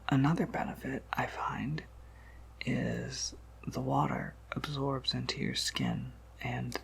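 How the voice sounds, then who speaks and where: A man playing an ancient, courteous vampire apothecary keeper in an ASMR roleplay, in a breathy, gravelly whisper with a hesitant, unhurried manner. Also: medium pitch, full character voice. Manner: hesitant, unhurried, softly chuckling asides.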